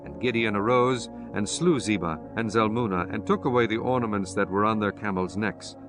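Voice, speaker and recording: Low-voiced man narrating scripture over music. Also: fairly quick.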